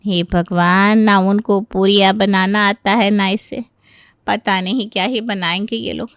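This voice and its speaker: A woman's voice: mid-range voice, steady tone, measured pace, smooth, no room sound, studio quality, lightly acted. A woman playing a fretful, chatty middle-aged auntie in a cartoon story. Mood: exasperated.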